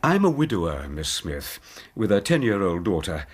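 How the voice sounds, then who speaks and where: An actor playing a courteous middle-aged widower who speaks politely and haltingly in a radio drama. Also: male voice, low voice, big pitch swings, slightly rough, heavily acted. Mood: apologetic. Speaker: Bob Carruthers.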